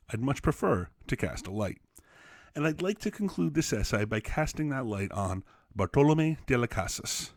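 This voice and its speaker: A man reading an essay aloud as a teacher, expressively, with emphatic, deliberate phrasing. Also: on the low side, no room sound, studio quality. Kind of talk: expressive read-aloud narration for students.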